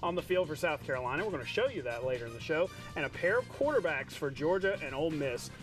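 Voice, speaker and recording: Higher-voiced man anchoring sports highlights. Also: animated, fast, distant mic, soft background music. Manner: jokey, informal delivery with playful banter. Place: TV studio.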